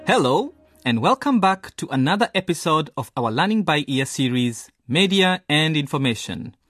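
A man's voice: on the high side, dry recording, clean studio sound. A man presenting and narrating a radio drama from a script.

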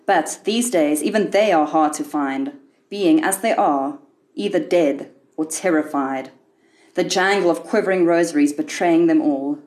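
Woman reading literary fiction, lowish voice. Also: unhurried, darkish tone. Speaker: author reading own short story. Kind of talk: scripted literary narration.

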